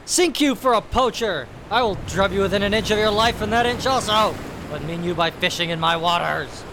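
A man's voice: high-pitched, brisk, noisy background. A man playing a bloodthirsty, boisterous pirate captain in a radio play, blustering and hearty as he swears. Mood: furious.

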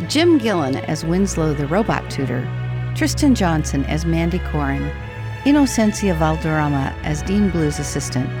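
Low, animated woman radio announcer. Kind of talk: scripted radio drama credits announcement. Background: music.

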